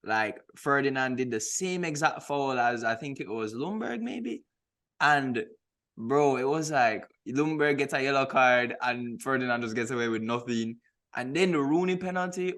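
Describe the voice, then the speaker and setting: A man's voice: medium pitch, a bit bright. Emphatic man in casual sports banter. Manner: emphatic, informal, slangy. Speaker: podcast co-host.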